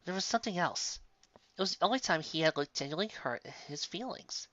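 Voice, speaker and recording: A man's voice: high voice, lively intonation, fast talker, sharp tone, no echo, highs cut off. A man reading a fantasy story aloud in a theatrical, dramatic way. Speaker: narrator, reader. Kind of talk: expressive amateur fan fiction reading.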